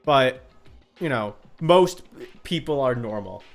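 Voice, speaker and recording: Guy streamer reading chat, rambly n sweary, normal mid pitch, quiet music under him. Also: lively intonation, slow. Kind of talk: casual chat with viewers.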